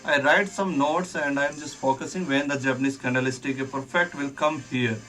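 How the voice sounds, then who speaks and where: A man's voice: medium pitch, steady tone, moderate pace, a bit bright. A man on a live trading stream, talking viewers through candlestick charts and reading out chat comments. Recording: distant mic with faint music behind.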